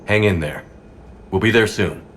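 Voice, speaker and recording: A man playing a steady, loyal soldier in command in a sci-fi video game, terse and calmly assured. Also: fairly low pitch, normal speed, background noise, lightly acted. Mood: resolute. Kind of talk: dramatic video game acting.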